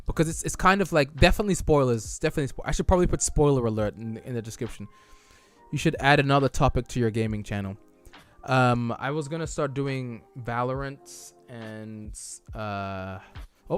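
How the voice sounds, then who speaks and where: A young man live-streaming, reading viewer chat aloud and answering it casually, his voice wearing out from a long stream. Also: medium pitch. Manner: chatty, reads chat aloud and answers it, loose restarts. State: losing his voice.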